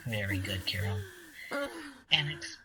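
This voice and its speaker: A man playing a conniving, manipulative angel in an audio roleplay, taunting and commanding with smug, unhurried poise. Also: fairly low pitch, wildly swooping pitch, a loud crowd, low-quality recording. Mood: smug, satisfied.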